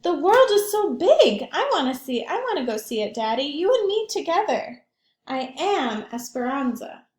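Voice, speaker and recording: Lady doing a sweet excited little kid for story time, high voice, stretches her words, roomy sound. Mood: delighted, dreamy. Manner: bright storybook exclaiming, drawn-out emphasis. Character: eager, affectionate young girl talking to her daddy.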